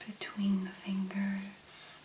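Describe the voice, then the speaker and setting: A woman speaking softly and breathily in an ASMR make-up tutorial, gently musing in a hesitant, unhurried way. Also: medium pitch, monotone, echoey room, slight background noise, poor audio. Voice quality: soft, breathy, mostly whispered. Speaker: ASMR content creator, make-up demonstrator.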